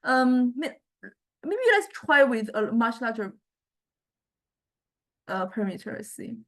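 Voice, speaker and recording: A woman giving a step-by-step software tutorial as a lecturer, hesitant and halting, often checking in with listeners. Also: on the high side, sing-song. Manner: hesitant, halting delivery with repeated check-ins.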